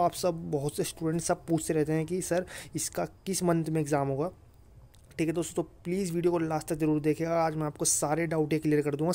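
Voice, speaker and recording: A man's voice: fairly high pitch, mellow. A man giving an informal explainer to viewers as a channel host, often checking in with listeners. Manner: repeats check-in phrases to listeners. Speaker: host of an exam news channel.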